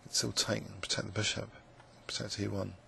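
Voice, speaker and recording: A man with a croaky, gravelly voice, musing hesitantly with many fillers as he commentates his own blitz chess game. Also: on the low side, level tone, a little fast, bright, forceful tone, a little hiss or hum. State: croaky voice, clearing throat. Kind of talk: live game commentary, thinking aloud.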